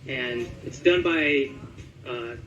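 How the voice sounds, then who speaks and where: A man's voice: medium pitch, slow pace, fairly bright tone, muffled recording, slight background noise. A man giving a casual interview as a voice actor, talking conversationally and thinking aloud with some hesitation. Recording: roomy echo with music underneath.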